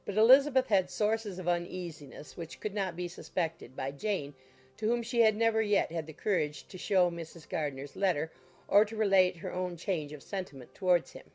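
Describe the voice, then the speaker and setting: A woman reading a classic novel as an audiobook narrator, in measured, formal storytelling style. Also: fairly low pitch, a little mellow. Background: music under the voice.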